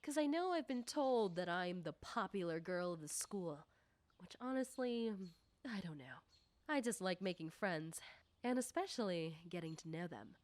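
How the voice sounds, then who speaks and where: A woman playing a confident, nosy popular high school girl in an ASMR roleplay, talking coyly and teasingly to the listener. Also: animated, normal speed, a little mellow, close to an everyday voice. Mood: friendly, curious.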